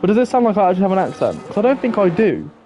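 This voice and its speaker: A man's voice: high-pitched, big pitch swings, very fast, mellow, soft background music. A young man giving live, chatty commentary on an online racing league, thinking aloud and talking to viewers. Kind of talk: live esports race commentary.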